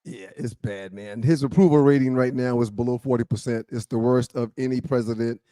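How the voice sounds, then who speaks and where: A man's voice: medium pitch, fairly even intonation, a little fast, warm tone, no echo, studio quality. A man co-hosting a talk show in casual banter, joking and repeating phrases for emphasis.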